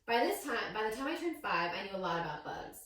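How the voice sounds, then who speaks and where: A woman reading a picture book aloud to young children as a teacher, with expressive storytelling and questions to her listeners. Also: medium pitch, quick pace. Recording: very echoey room, distant microphone.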